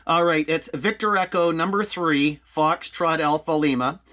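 A man's voice: a little high, fairly even intonation, moderate pace, fairly bright tone. A man talking casually as an amateur radio operator and video host. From Canada. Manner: spells out call signs in phonetic alphabet. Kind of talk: casual narration and ham radio chat.

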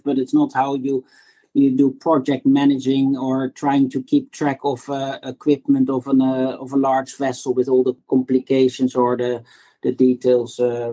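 Middle-aged dutch guy chatting in an interview, pretty flat pitch, soft mellow tone. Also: low-quality recording. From Netherlands. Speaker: startup executive, interview guest. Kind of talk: conversational interview answers.

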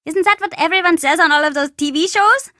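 A woman's voice: extremely high pitch, brisk, bright, forceful tone, no echo, studio quality. A woman voicing a mischievous, imaginative, chatty young boy in a video game, with cheeky, dramatic bluster. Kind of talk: video game character dialogue.

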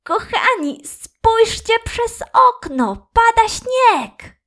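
Cheerful nursery teacher for a children's tale, played by a woman; high, excited, drawn-out calls to little ones. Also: heavily acted. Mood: delighted, excited. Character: cheerful kindergarten teacher announcing a surprise.

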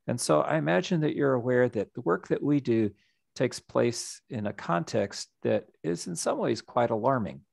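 A middle-aged man, a nonprofit executive director, giving a reflective, measured story-led presentation over a video call. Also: mid-range voice, lively intonation, creaky, darkish tone, dry recording, studio quality. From United States.

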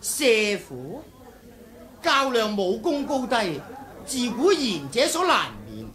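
An actor with a Hong Kong Cantonese accent playing a polite, guarded middle-aged swordmaster in an old radio drama, measured and self-effacing. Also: male voice, very high-pitched, big pitch swings, fairly bright tone, full character voice. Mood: guarded courtesy. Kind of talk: 1980s radio drama acting.

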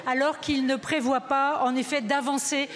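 A woman's voice: high voice, flat delivery, moderate pace, a bit bright. A middle-aged woman, a minister, answering in a large chamber with frequent hesitations and filler sounds. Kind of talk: spoken parliamentary reply. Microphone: gooseneck desk mic. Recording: long hall reverb.

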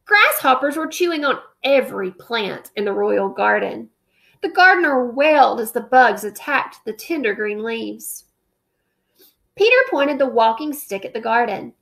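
A woman's voice: on the high side, a little slow, creaky. Woman teacher reading aloud on webcam. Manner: expressive storybook reading, then plain classroom explaining. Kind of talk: read-aloud and math lesson. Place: living room at home, indoors. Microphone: webcam at close range.

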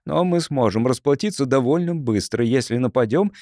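Lively male audiobook narrator, dry sound. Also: mid-range voice, normal speed, no echo. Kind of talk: expressive scripted fiction narration.

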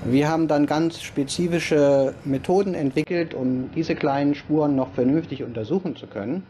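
A middle-aged man speaks as a forensic expert in an interview. His timbre is dark and relaxed, his explanations precise and matter-of-fact. Faint noise and ambience sit behind him. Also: fairly high pitch, normal speed.

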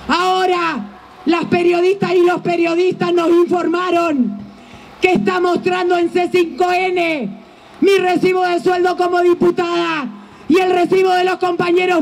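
A middle-aged woman with an Argentine accent giving an impassioned, forceful rally speech outdoors into a handheld microphone, as a union leader. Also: very high-pitched, gravelly. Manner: impassioned, forceful shouted oratory. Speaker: teachers' union leader and legislator. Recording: outdoor microphone with faint noise.

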